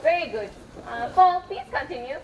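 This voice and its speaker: A woman's voice: high-pitched, expressive, normal speed, distant mic. A young woman in an amateur stage play acting a patient but exasperated schoolteacher, speaking in an instructive way. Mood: encouraging. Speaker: student actor in a school play. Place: echoey hall, distant microphone. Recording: echoey, noisy stage recording from afar.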